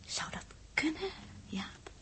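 A woman's voice: slow pace, fairly bright tone, in a whisper. A woman with a Netherlands Dutch accent playing a coy, probing young crew member in a 1960s radio drama, with hesitant asides and pointed questions. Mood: hushed, confiding. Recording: muffled 1960s radio recording.

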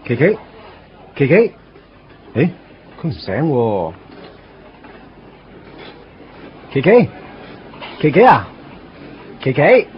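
A man's voice: medium pitch, wildly swooping pitch, slow, long pauses, a little hiss or hum. An actor playing a wry, put-upon young man in a Cantonese radio drama, conversational and self-mocking with a Hong Kong accent. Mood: concerned. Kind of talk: radio drama narration and dialogue. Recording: dull radio recording with music underneath.

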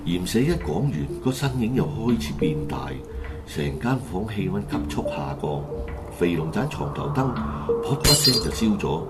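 Deep voiced hong kong cantonese guy narrating a radio play, really animated, loud music under him. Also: normal speed. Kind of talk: dramatic scripted storytelling in colloquial Cantonese.

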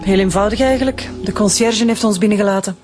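A woman playing a brisk, practical ambulance worker. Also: mid-range voice, natural voice. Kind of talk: radio drama acting. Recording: music under dialogue.

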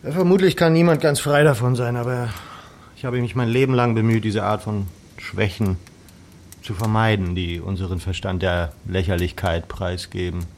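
An actor playing a proud, reserved young Regency gentleman in a radio drama, with measured, formal and slightly hesitant phrasing. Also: fairly low pitch, steady tone, slight background noise, lightly acted. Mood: earnest, proud. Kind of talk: naturalistic German radio drama acting.

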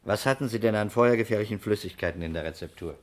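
Actor as deep-voiced, probing investigator. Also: male voice, natural voice. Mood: probing, dry. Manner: measured, terse, matter-of-fact. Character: calm, probing male investigator. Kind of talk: 1960s German radio crime drama acting.

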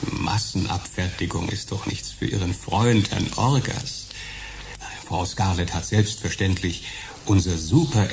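An oily, elderly male undertaker speaks low with a gravelly creak and pompous, formal sympathy. It is a radio play, with clear noise behind the voice. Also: exaggerated voice. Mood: salesman-like, discreet. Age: older. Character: unctuous elderly male undertaker doctor with hushed professional sympathy. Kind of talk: radio drama acting.

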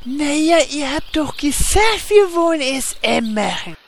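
Naive male warrior, voiced by a woman; high, lively, unhurried, earnest radio-play manner. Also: creaky, slight background noise, heavily acted.

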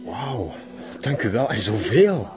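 A man's voice: mid-range voice, very animated, slow pace. An actor playing a deferential male cult follower in a television drama, eager to please his master. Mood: fawning, grateful. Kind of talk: television crime drama acting. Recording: muffled lo-fi sound, faint music.

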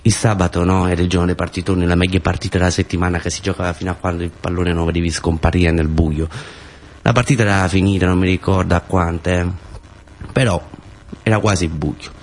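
A man's voice: deep voice, fairly even intonation, fairly quick. A man with a southern Italian accent telling a first-person theatre story in short phrases with incantatory repetition. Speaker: actor-storyteller.

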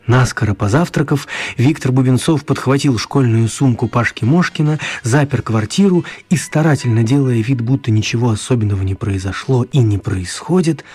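A man narrating a scripted children's story in a measured, expressive manner. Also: medium pitch. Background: music.

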